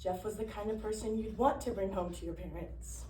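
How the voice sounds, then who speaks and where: A woman playing a wounded, plain-spoken young woman in a dramatic monologue, speaking in a subdued, deliberate, confiding way. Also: mid-range voice, steady tone, dark tone, close to an everyday voice. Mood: subdued, pained. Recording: echoey room, distant microphone, faint noise.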